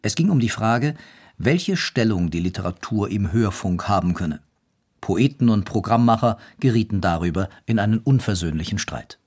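A man's voice: lowish voice, lively intonation, moderate pace, darkish tone, breathy edge. A man reading scripted narration as a documentary narrator.

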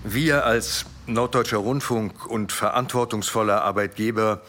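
Formal, sharp-edged broadcasting boss. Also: male voice, lowish voice, fairly even intonation, slight background noise. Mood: official, guarded. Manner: formal, measured corporate phrasing. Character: stiff, official male broadcasting director giving a statement.

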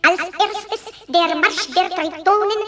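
An eerie female newt announcer addresses humankind on the radio. The voice is very high and deliberate, with formal authority. It sounds far from the microphone in this radio drama. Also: pushed and bright, heavily acted. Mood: formal announcing. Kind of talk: slow radio announcement in a radio play. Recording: distant broadcast with music.

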